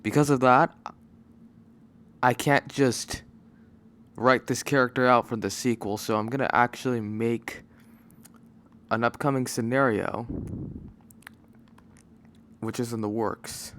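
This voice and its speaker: A man giving a casual, unscripted channel announcement as a video creator, halting and rambling as he goes, on a microphone with no pop filter. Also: mid-range voice, fairly even intonation, a bit bright, slight background noise. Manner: rambling, halting, often losing his train of thought. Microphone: microphone without pop filter.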